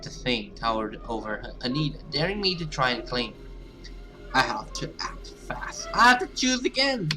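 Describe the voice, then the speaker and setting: A man's voice: mid-range voice, very animated, moderate pace, over music. A man hosting a gaming video, reading story text aloud dramatically and reacting with casual asides.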